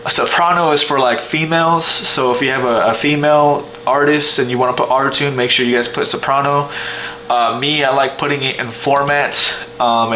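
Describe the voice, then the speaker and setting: A man's voice: mid-range voice, sharp tone, a little hiss or hum, rough recording. A young man giving a casual, rambling music production tutorial from a home computer, with lots of fillers and restarts. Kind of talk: casual screen-share tutorial. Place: small home kitchen, indoors.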